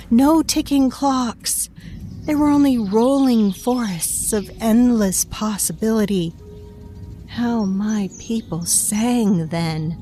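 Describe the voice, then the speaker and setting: Ancient fey storyteller lady, dark fantasy audio drama, real slow n deliberate, knowing, kinda scornful, faint music under. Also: medium pitch. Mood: wistful, grand. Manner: deliberate, confiding, addressing the listener directly. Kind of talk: scripted dark fantasy audio fiction narration.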